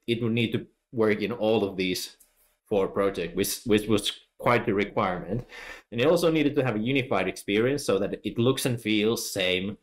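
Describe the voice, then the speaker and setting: Finnish-accented man giving a tech talk. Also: fairly low pitch. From Finland. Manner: frequent filler "uh" and restarts, friendly explaining. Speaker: conference speaker. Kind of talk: technical conference talk.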